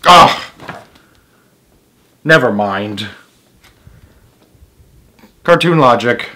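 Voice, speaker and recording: A man's voice: mid-range voice, lively intonation, drawn-out words, sharp tone. A man reading a children's story aloud as its host, with emphatic delivery and casual asides.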